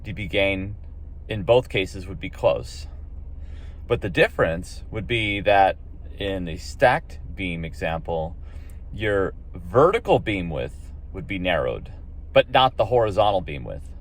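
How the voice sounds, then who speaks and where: A man with a low-pitched American voice talks casually through an explanation. He thinks out loud as he goes, halting and doubling back on his phrases like a hobbyist vlogger. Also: lively intonation.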